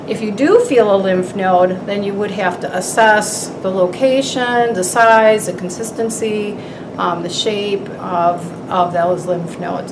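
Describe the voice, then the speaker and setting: A woman clinical instructor methodically explaining a physical exam as she demonstrates it, in an explanatory teaching style. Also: mid-range voice. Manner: methodical, explanatory, lists anatomical terms. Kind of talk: instructional demonstration, narrating while examining. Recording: roomy, distant mic, clear background noise.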